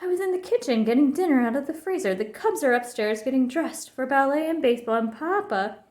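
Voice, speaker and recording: A high, hurried mother bear from a children's storybook, acted out by a woman reader. She sounds busy and flustered, exclaiming as she goes. The room adds a noticeable echo. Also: expressive, a little mellow.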